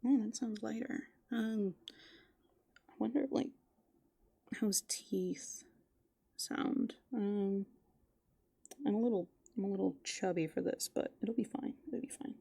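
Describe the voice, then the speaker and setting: Breathy, creaky woman doing soft ASMR. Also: mid-range voice, unhurried, pauses often, no echo. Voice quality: soft, breathy, slipping into whispers. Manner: playful, hesitant musing, thinking aloud. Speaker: ASMR performer in a raccoon avatar persona. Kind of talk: ASMR roleplay, soft musing and improvised. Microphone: close ASMR microphone.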